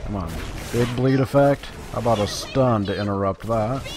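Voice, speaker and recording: A man's voice: on the low side, normal speed, noisy background. A man giving casual, playful game commentary, chatting to himself and to viewers as he plays. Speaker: gaming video maker.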